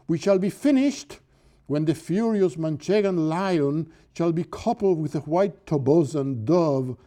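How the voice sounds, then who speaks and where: Brief: lecturer doing a pompous barber prophesying, theatrical, warm Cuban-accented voice. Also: male voice, animated, unhurried. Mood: grandiose. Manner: dramatic, highly affected tones. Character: village barber playing a grandiose prophet, male.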